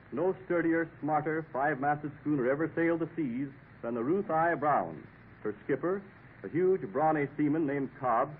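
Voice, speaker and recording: An American man announcing and narrating a 1950s radio drama in a formal, dramatic storytelling manner. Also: fairly high pitch. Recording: tinny, hissy 1950s radio recording.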